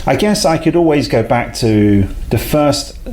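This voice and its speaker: A man's voice: medium pitch, expressive, unhurried, darkish tone. A man, a talkative, self-deprecating guest who laughs often in a casual interview. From South London, England. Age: 40s. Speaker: guest, head of a talent company.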